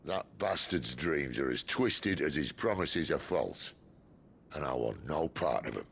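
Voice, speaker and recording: A man's voice: very deep voice, expressive, measured pace, fairly bright tone, slight background noise, exaggerated voice. An actor playing a weary male outlaw leader in a game cutscene, dry and sardonic. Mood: scornful. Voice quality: gravelly.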